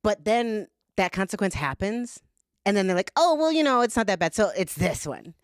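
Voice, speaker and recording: A woman talks frankly into a close studio mic, her voice smooth and clear with no room sound around it. She chats casually, swears freely, and strings her thoughts together with plenty of "like". Her pitch sits in an ordinary middle range. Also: animated, normal speed, no room sound. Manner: blunt, profane, talks with lots of "like". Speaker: podcast guest. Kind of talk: candid casual conversation.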